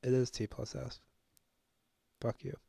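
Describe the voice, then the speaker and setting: Slow, gravelly man thinking aloud. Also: mid-range voice, little pitch movement, mellow, no echo. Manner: musing, self-deprecating, halting with many fillers. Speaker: language-learning video host. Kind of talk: thinking aloud while self-studying.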